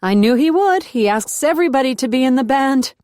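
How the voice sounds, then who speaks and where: A chatty young woman, as the narrator puts her on speaks in a lifted, eager voice. Her talk is bright and upbeat. The recording is close and dry. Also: high voice, lively intonation, fairly quick, dry recording, voice pushed up.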